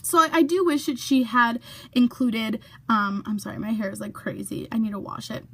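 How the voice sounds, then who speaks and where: Young woman, slightly high voice, casual vlog chat, lots of fillers, whispered asides. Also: fairly even intonation, moderate pace, husky. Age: young adult. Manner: chatty, many fillers, drops to whispers for asides. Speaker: YouTuber.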